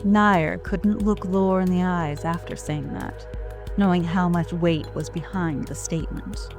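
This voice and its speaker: A woman narrating an audio drama in a slow, deliberate, grave way. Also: lowish voice, steady tone, over music. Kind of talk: scripted narration.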